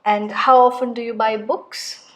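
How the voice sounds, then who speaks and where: A woman examiner reading scripted test questions in a measured, formal way. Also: fairly high pitch, little pitch movement.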